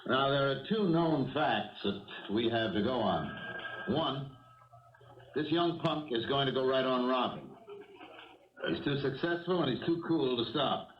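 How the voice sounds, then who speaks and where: An American man playing a calm, wry, methodical police lieutenant who also narrates a 1970s radio crime drama in a measured, authoritative way. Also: medium pitch, close to an everyday voice. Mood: commanding. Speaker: narrator and lead character. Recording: dull old radio broadcast, slightly distant.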